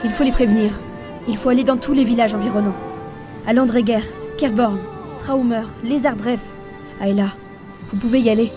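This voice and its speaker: A woman's voice: on the high side, fairly even intonation, moderate pace, pauses often, reverberant, in a large hall, lightly acted. A woman playing the Ankou, death's supernatural servant, with composed, dry and sardonic delivery in a French audio fiction. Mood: composed, urgent. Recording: music under the voice.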